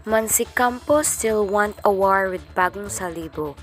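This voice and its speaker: A woman's voice: mid-range voice, a little slow. A woman reading the narration of an amateur radio drama in a plain, careful way. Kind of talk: read narration. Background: guitar music.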